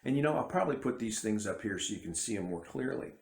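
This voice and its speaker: A man's voice: fairly low pitch, lively intonation, quick pace, vocal fry, reverberant. A man giving a casual, rambling bible commentary to camera, often breaking off and restarting his sentences. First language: English. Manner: rambling, self-interrupting, conversational. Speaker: independent bible teacher.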